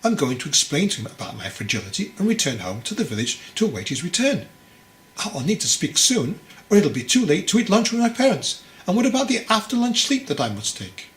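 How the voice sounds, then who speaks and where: A man's voice: high voice, very animated, fairly quick, voice pushed up. A man voicing an anxious, petulant young male pupil who protests plaintively. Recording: roomy echo, faint background noise.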